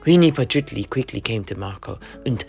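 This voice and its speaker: A man playing a mercenary knight in an audio play, retelling his grandfather's tale in a measured, dramatic way. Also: medium pitch, expressive, vocal fry, a little mellow. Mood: solemn, mysterious. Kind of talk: scripted audio play storytelling. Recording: plucked guitar music underneath.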